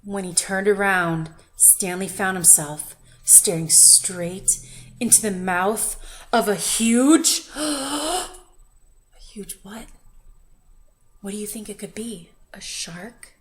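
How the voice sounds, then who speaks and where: A woman reading a children's picture book aloud expressively, with questioning asides to young listeners and drawn-out emphasis. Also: medium pitch. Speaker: children's story reader.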